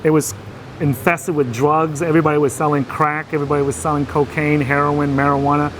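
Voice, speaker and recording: Man from Vietnam, halting interview storytelling. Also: a little high, level tone, a little hiss or hum, poor audio. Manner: hesitant, many fillers and restarts. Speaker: interview guest.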